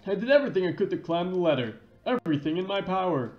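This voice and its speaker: A man's voice: sits high, very animated, fast talker, faint music behind. A man voicing a cocky, devoted investigation chief with a swaggering manner. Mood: determined, confident. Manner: swaggering, says "baby" as a tag. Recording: dull lo-fi roomy mic.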